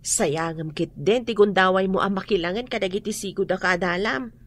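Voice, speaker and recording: A woman acting in an Ilocano radio drama as a chatty, nosy friend, coaxing and questioning in an expressive Ilocano-accented way. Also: medium pitch, normal speed, lightly acted.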